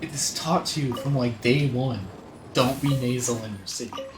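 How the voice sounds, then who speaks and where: A man's voice: mid-range voice, moderate pace, background noise. A man streaming a game, chatting casually and rambling with restarts as he thinks aloud. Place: room with other people present. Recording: roomy, off-mic, loud game music behind.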